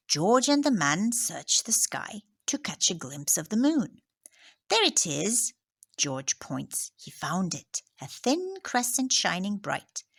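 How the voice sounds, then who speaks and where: Slow, animated woman reading to children. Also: mid-range voice. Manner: slow, expressive, drops into whispers on headings and asides. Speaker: teacher reading a picture book. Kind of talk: children's story read-aloud.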